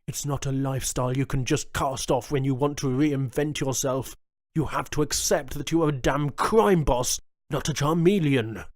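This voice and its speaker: A man voicing a calm, firm, dismissive male pirate lieutenant. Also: medium pitch, moderate pace, no room sound, studio quality, close to an everyday voice. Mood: cold.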